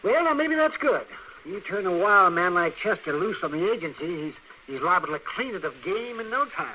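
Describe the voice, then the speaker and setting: An actor playing a fussy, folksy frontier town doctor in an old-time radio western, hesitant and rambling. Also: male voice, high-pitched, sing-song, moderate pace, bright, forceful tone, full character voice, low-quality recording. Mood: folksy teasing. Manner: hesitant, rambling, repeats words. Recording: old radio recording, faint noise.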